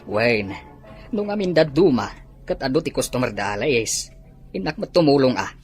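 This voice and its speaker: Very low, rough-edged actress as meddlesome gossiping parent in audio comedy drama. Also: female voice, big pitch swings, moderate pace, a little mellow, soft background music, full character voice. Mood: defensive. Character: meddlesome, gossiping parent watching over grown children.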